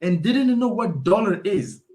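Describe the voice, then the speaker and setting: A man's voice: high-pitched, lively intonation, moderate pace, warm tone. A man from Afghanistan with an Afghan accent, speaking as an expert guest in a conversational interview, explaining and telling stories with emphatic repetition.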